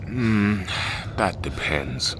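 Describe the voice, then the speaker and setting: A man playing a calm, philosophical cult leader and grieving widower in an audio drama, speaking in a measured, eloquent way. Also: lowish voice, exaggerated voice. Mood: calm, probing. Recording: clear background noise and ambience.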